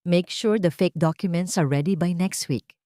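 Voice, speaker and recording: A woman's voice: on the low side, normal speed, warm tone, no echo, studio quality, natural voice. A woman as scheming, two-faced rich wife. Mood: cold, menacing. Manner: code-switches between English and Tagalog. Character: scheming, two-faced wealthy wife, sugary then cold.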